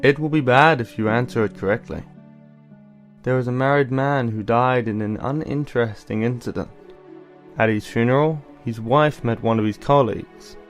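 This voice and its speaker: A man plays a cool, eloquent older brother in his teens for an audio drama. He has a medium-pitched voice and a calm storyteller's manner, with soft music underneath. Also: moderate pace, close to an everyday voice. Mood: mysterious, calm. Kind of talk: amateur audio drama acting.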